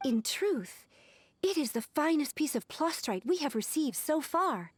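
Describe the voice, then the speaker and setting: Polished game secretary, high pitched and expressive, formal, all business. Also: female voice, unhurried, dry recording. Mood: earnest, official. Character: polished, officious young female secretary judging a contest. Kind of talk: video game voice acting.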